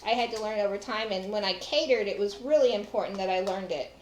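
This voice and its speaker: A woman's voice: medium pitch, fast talker, background noise. Texan lady talking thru a recipe at home, echoey kitchen, dishes clanking behind. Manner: chatty, talks to viewers while working. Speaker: cooking show host. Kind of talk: casual cooking demonstration. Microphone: far camera.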